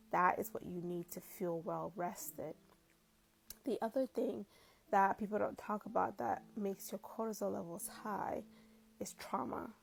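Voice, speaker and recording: A woman in her forties from Cameroon, a physician giving casual advice to a viewer in a relaxed, chatty way with plenty of asides, recorded on a wired earphone mic in a small room. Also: medium pitch, vocal fry. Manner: relaxed and conversational, with frequent likes and asides. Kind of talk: casual advice video answering a viewer question.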